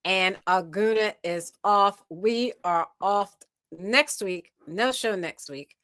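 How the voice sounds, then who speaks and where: A woman's voice: medium pitch, takes it slowly, fairly bright tone, dry recording, studio quality. An American woman hosting a live talk show, firmly moderating her panel.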